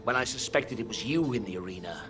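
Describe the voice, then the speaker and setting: An actor playing a grave, resolute older Roman general in a video game cutscene, solemn and commanding. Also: male voice, lowish voice, lively intonation, fast, music in the background, natural voice. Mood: ominous, resolute. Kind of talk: cinematic video game acting.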